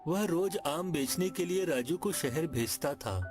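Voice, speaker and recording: A man's voice: a little high, animated, a little slow, over music. A man narrating a scripted children's story.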